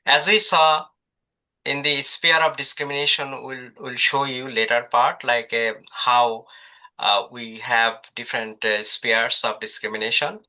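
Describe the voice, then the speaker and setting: A man's voice: mid-range voice, flat delivery, a little slow, sharp tone. A man presenting a workshop on a video call, hesitant and full of filler sounds. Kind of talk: online professional development talk. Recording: dull lo-fi video call audio.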